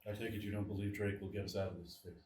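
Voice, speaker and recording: A man's voice: lowish voice, little pitch movement, racing, a little mellow, close to an everyday voice. Indignant pompous male official acted out, echoey recording. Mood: wary, conspiring. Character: irate, scheming male official, demanding and self-important. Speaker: actor in a live screenplay table read. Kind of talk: live staged script reading. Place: small live theatre stage. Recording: distant, echoey live theatre recording with noise.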